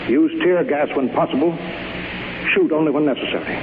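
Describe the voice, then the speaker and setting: An actor playing a steely, resolute chief engineer in a commanding, clipped old-time radio drama style. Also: male voice, a little high, a little fast, lightly acted. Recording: muffled, noisy old radio recording.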